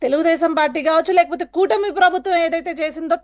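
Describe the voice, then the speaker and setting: A woman speaking in a heated television political debate, forceful and accusatory, firing rhetorical questions. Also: extremely high pitch, very fast. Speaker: political panel guest.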